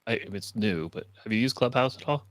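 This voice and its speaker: Male host for a casual chat, lowish pitch, recorded dry with little room. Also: expressive, fairly quick, dry recording. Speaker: host asking questions.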